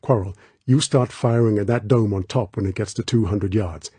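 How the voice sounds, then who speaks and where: A man voicing a tough, protective action hero in an audiobook, with a clipped, low-key, commanding manner. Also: normal speed, warm tone, husky, no echo. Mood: brisk, resolute.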